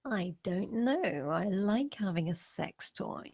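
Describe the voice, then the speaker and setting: A woman's voice: mid-range voice, animated, slow pace, darkish tone, husky, no echo, studio quality, full character voice. A woman playing a teasing, lustful, possessive lover in a film script table read.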